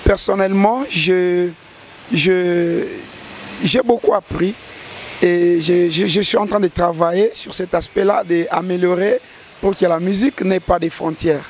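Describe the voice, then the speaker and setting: A man's voice: high voice, fairly even intonation, unhurried. A man talks as a gospel singer in an interview. He answers earnestly, stumbling and restarting his words as he thinks. A faint noise hums under the recording. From DR Congo. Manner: earnest, hesitant with repeated restarts.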